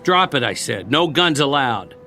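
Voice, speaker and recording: An actor playing a weary, wry American ex-soldier, plain-spoken and coaxing, in a radio drama. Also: male voice, medium pitch, very animated, moderate pace, sharp tone, faint music behind. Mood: wry, firm. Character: weary, wry male ex-soldier surviving after war.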